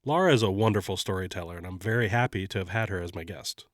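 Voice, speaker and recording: A man hosting a podcast, sounding slightly nasal and congested while getting over an illness, narrating a scripted story in a measured way. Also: sits low, dry recording, clean studio sound. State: congested, recovering from covid. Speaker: podcast host.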